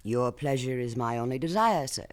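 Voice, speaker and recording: A coy, flirtatious secretary. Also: female voice, rumbling low, lively intonation, normal speed. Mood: coy polite. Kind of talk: 1970s radio drama acting.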